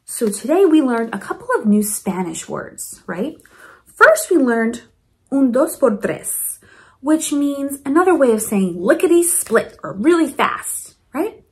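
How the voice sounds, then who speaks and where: A woman reading a storybook aloud to children in an expressive way, with chatty asides to her young listeners. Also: sits high, measured pace. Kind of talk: animated children's read-aloud.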